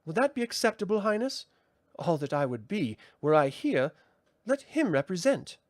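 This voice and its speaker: A man doing a gentle small wizard. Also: high-pitched, sing-song, normal speed, dry recording, studio quality, pitched above the performer's own voice. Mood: tender. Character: small gentle male Nelwyn wizard, earnest and tender.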